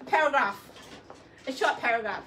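A woman's voice: high-pitched, moderate pace, background noise. A woman hosting a live fashion sales show in a chatty, effusive, coaxing way.

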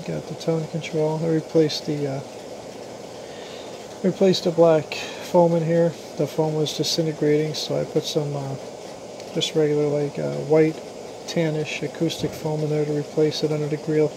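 Even-toned American man over steady hiss. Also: a little high, normal speed. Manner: plain-spoken, matter-of-fact, short clipped phrases. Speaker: radio hobbyist presenter. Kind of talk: casual product demonstration. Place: small indoor room at a radio bench. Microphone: handheld camera or phone mic. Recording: clear steady background hiss and hum.

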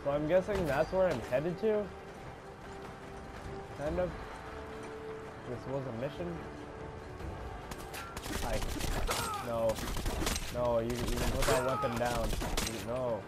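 A young man with a congested voice giving casual, swearing commentary while playing a game, mostly low and mumbled with sudden loud outbursts. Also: takes it slowly, pauses often, loud music behind the voice. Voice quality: congested, stuffy. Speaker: gamer playing a shooter game.

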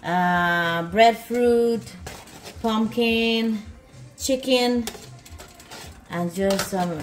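Slow-talking woman in an echoey kitchen. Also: mid-range voice. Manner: repetitive, exclaiming over the food. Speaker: food vlog host. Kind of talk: casual cooking vlog chat. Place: home kitchen, indoors.

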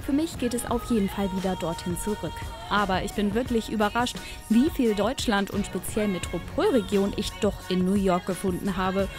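A woman's voice: medium pitch, moderate pace. A young woman reporter narrating a travel TV segment in a light, chatty way. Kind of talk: scripted TV voice-over narration. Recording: voice-over with clear background music.

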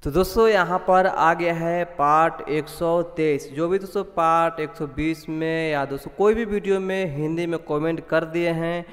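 A man's voice: a little high, steady tone, normal speed, hardly any pauses, a bit bright. A man from India hosting a casual voice-over for his channel, repeatedly addressing viewers as friends, with long reverb on his voice. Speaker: tutorial channel host. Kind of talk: casual screen-recording voice-over.

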